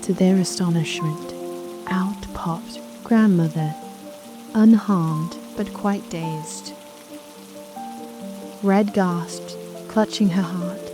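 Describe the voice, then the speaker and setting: A woman narrating a children's bedtime fairy tale in a gentle scripted storytelling style. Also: on the low side, drawn-out words, long pauses. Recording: soft lullaby music and rain behind.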